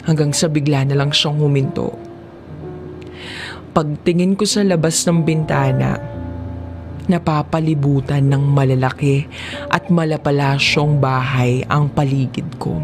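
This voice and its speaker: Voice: mid-range voice, fairly even intonation, measured pace, pauses often. A narrator with a Filipino accent reading a scripted first-person drama story, audiobook style. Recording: music behind the voice. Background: background music.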